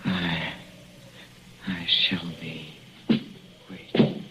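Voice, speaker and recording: An actor playing a sly, menacing professor with a halting, falsely courteous manner in a 1940s radio drama. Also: male voice, deep voice, little pitch movement, sharp tone, full character voice. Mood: weak, ominous. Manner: halting, hesitant, repeating words, falsely courteous. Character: sly, menacing husband, a professor feigning death by poison. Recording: dull, noisy 1940s radio recording.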